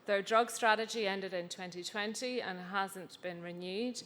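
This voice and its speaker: A woman's voice: mid-range voice, measured pace, a bit bright. A woman researcher giving a conference talk, chatty and self-deprecating, with frequent ums. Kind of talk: conference presentation. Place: conference hall. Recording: long reverb of a conference hall.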